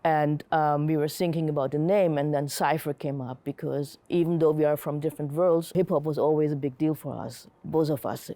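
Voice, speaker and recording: A woman's voice: sits low, fairly even intonation, normal speed, hardly any pauses, dark tone, no room sound. A middle-aged woman from Germany, telling personal stories in a casual, reflective interview style. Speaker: interviewee, comic artist and curator.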